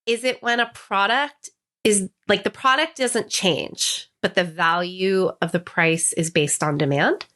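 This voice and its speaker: A woman co-hosts a relaxed podcast with a bright, forceful voice. She laughs easily and talks tentatively, hedging with fillers. Her pitch sits in an ordinary middle range. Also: a little slow. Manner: giggly, tentative, filler-heavy. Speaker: podcast co-host. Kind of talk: casual chat.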